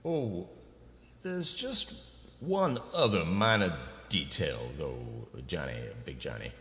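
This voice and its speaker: A man's voice: sits low, sing-song, a bit bright, exaggerated voice. Man playing a swooping, slick record executive in echo. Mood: hesitant, evasive. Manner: fast-talking salesman patter with wheedling asides. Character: slick, pushy, greedy male record company executive. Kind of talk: comic radio play acting. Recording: echoey, long reverberant room sound.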